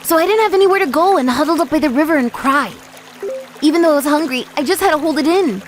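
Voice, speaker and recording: A woman's voice: sits high, quick pace, close to an everyday voice. A woman voicing a frightened, lonely orphaned five-year-old boy, narrating his own story earnestly and emotively in an anime-style dub. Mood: miserable. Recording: voice over background music.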